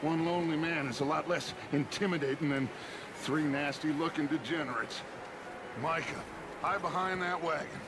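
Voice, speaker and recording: Man playing a high-pitched commanding outlaw gang leader. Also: moderate pace, background noise, close to an everyday voice. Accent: American. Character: charismatic outlaw gang leader, commanding, smooth-talking, theatrical. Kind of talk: video game cutscene acting. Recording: loud music behind the voice.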